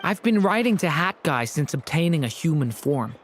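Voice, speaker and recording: A man playing a polite, earnest, gentle youth in a video game. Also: on the high side, very animated, normal speed, slight background noise. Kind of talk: scripted video game dialogue.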